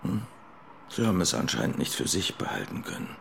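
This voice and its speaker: Police detective, radio drama, dry, creaky voice, lively intonation, formal, insinuating. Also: male voice, low-pitched, slight background noise, heavily acted. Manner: deliberate, insinuating, formally polite. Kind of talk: naturalistic German radio drama acting.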